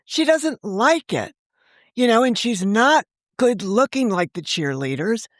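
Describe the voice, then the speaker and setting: A woman in her sixties, a novelist guest on a remote interview, talking in a chatty, animated way and often jumping in over the host. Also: fairly high pitch, measured pace, no echo, clean studio sound. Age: 60s. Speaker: novelist and podcast creator, guest. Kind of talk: casual interview chat.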